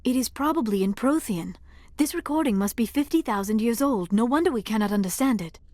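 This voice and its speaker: A woman's voice: a little high, expressive, a little fast, a little mellow, no room sound, natural voice. A woman playing an earnest, scholarly squad member in a sci-fi game, with formal, precise phrasing.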